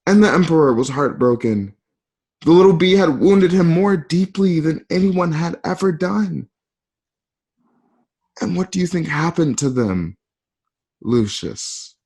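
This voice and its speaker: A man acting a cruel, coldly threatening Roman emperor in a radio play, slow and theatrical with pauses. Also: fairly high pitch, expressive, darkish tone, slightly rough, full character voice. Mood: wounded, threatening. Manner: slow, theatrical, pausing between phrases.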